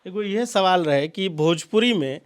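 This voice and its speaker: A man's voice: high-pitched, lively intonation, normal speed. A man with a Bhojpuri-region Indian accent, hosting and asking questions in a video news interview. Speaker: interviewer and host.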